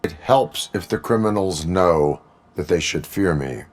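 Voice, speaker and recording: An actor playing a stoic cyborg police officer in a video game, speaking stiffly and formally in a deadpan, robotic way. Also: male voice, deep voice, a little slow. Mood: stern, flat. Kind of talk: scripted game dialogue.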